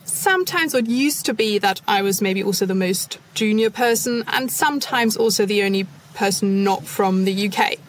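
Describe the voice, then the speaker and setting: A woman consultant speaking as a podcast guest, measured and thoughtful, with frequent soft fillers. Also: fairly high pitch, slight background noise. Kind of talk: podcast interview.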